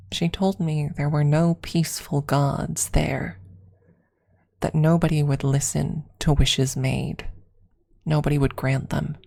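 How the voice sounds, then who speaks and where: A woman with a creaky voice narrating a scripted story in an ASMR style. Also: low voice, a little mellow. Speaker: streamer and story reader.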